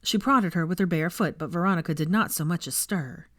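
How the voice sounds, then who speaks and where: A woman's voice: on the low side, a little fast, no echo, studio quality. A woman reading a story aloud as narrator, in scripted audiobook style. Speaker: fan fiction narrator.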